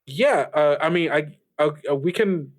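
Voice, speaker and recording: A man's voice: on the high side, unhurried. A man playing an affable, flustered radio show host in an improvised interview, stammering and awkwardly polite, with a curious manner.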